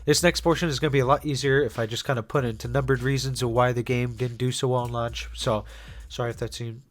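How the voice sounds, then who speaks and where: A man's voice: medium pitch, steady tone, fast talker, faint music behind. Congested young man narrating a game essay. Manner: casual, many fillers and restarts. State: congested after being sick. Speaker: gaming YouTuber. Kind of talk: scripted video essay narration.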